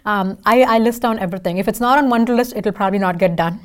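A woman's voice: mid-range voice, fast talker. A woman in her thirties from India with an Indian accent and Tamil as her first language, answering interview questions in a chatty, emphatic way, often checking in with "right?". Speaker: interview guest.

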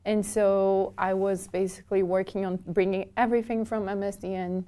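Woman, halting friendly speech. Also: mid-range voice, level tone, warm tone. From southern Brazil. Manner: hesitant, many restarts, laughs easily. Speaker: interview guest, documentation developer. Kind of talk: casual interview chat.

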